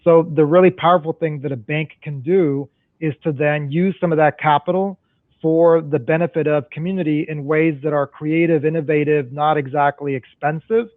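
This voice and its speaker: A man's voice: on the high side, fairly even intonation, normal speed, no room sound. Man on a tinny phone-like line. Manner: explanatory, many restarts and tag questions. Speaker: panel guest, community development banker. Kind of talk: panel discussion answer. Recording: dry, phone-like remote audio.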